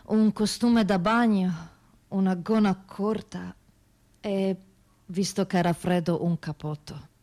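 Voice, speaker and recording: A woman playing a young trafficked migrant woman, telling her hardship in a measured radio-theatre monologue with short halting phrases. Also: mid-range voice, steady tone, warm tone, natural voice. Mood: sober recounting.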